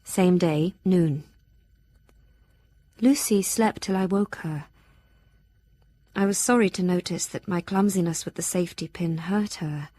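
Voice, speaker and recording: A woman's voice: fairly low pitch, unhurried, pauses often, dark tone, dry recording. An actress playing a devoted, anxious young Victorian woman reading her journal and letters aloud in an audio drama, earnest and tender. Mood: worried, confiding.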